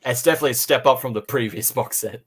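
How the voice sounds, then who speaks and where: A man co-hosting a casual review podcast, talking haltingly and repeating phrases as he thinks aloud. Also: mid-range voice, slightly rough.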